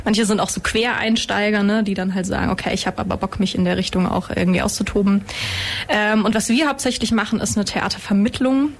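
A woman's voice: medium pitch, steady tone, fairly quick, gravelly. A woman in her thirties who heads theater education, talking informally and upbeat about her work. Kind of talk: casual radio chat.

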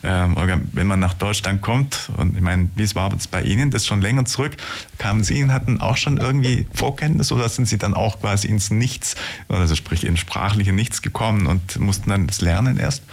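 A man with a low voice hosts a radio interview. He talks conversationally and tends to ramble, rewording his questions along the way. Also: a little fast, gravelly. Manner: rambling, rephrases questions mid-sentence.

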